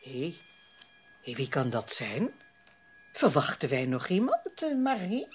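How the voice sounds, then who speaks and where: A woman's voice: deep voice, wildly swooping pitch, takes it slowly, faint music behind, heavily acted. A woman acting a worried, proper middle-aged society mother in a period radio drama, with a genteel, fretful, emphatic manner. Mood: puzzled.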